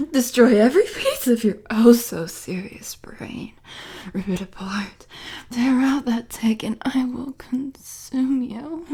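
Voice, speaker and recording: An obsessive, psychotic supervillainess for an intimate audio roleplay. Her voice is very breathy and husky, often close to a whisper, and drawn out extremely slowly. She sits a little high and teases gleefully as she menaces. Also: mellow, exaggerated voice. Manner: slow, teasing, savoring threats, sudden swells into manic intensity. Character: unhinged, obsessive young female supervillain, gleefully menacing prisoner.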